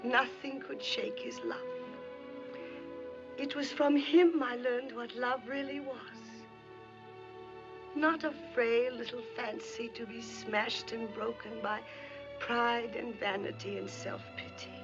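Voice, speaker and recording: A world-weary married woman in a 1950s Hollywood melodrama. She speaks slowly and emphatically in a fairly high voice. Music swells strongly behind her on the old film soundtrack. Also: highs cut off. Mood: fervent, resolute. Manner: deliberate, emphatic, dramatic delivery.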